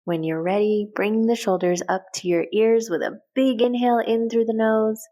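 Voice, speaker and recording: A woman leading a guided meditation in a calm, gentle, unhurried way with short measured phrases. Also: on the high side. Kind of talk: guided meditation, soft instructional narration.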